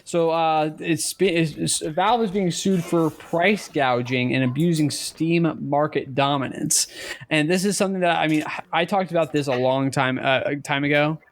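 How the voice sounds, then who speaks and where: A man co-hosting a casual podcast, speaking haltingly and repeating words as he goes. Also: on the high side. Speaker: podcast co-host.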